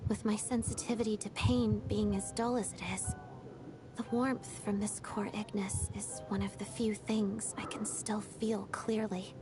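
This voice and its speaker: Soft, melancholy young ballerina, acted by a woman, music behind. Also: mid-range voice, little pitch movement, natural voice. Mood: quiet, regretful. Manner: restrained, reflective, measured. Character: melancholy young female ballet dancer and former Fatui trainee. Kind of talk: video game story dialogue.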